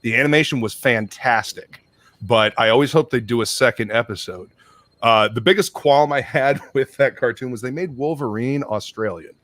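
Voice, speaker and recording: A man's voice: medium pitch, normal speed, a bit bright, dry recording. A man hosting a casual live stream chat, rambling between topics, swearing freely and greeting viewers in the chat. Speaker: stream host.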